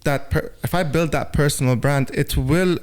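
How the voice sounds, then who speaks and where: A man talking as a business-owner guest in a relaxed interview, fluent but restarting words as he builds his points. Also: a little high, normal speed, smooth. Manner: fluent, repeats words when starting thoughts. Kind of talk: casual interview talk.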